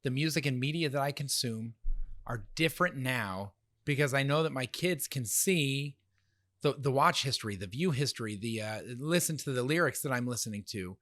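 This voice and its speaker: A man with a slightly nasal voice co-hosting a casual talk show, animated and laughing often. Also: medium pitch, moderate pace, dry recording. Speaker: podcast co-host. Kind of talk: casual chat.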